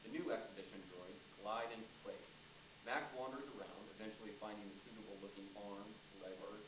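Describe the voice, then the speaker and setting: A man's voice: lowish voice, fairly even intonation, fairly quick. Distant echoey male narrator reading stage directions. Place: large indoor theatre space, staged reading. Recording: distant echoey room, clearly noisy.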